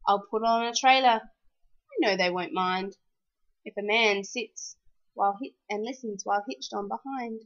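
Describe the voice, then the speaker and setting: A woman reading a rhyming children's storybook aloud in an expressive, animated, sing-song way. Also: medium pitch, measured pace, dull audio.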